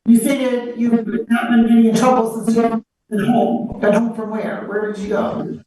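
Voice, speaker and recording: A woman presiding as a judge in court, speaking in a firm, measured way and stopping people to question them. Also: fairly high pitch, level tone, dark tone. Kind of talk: court proceedings over video. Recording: echoey and distant with faint noise.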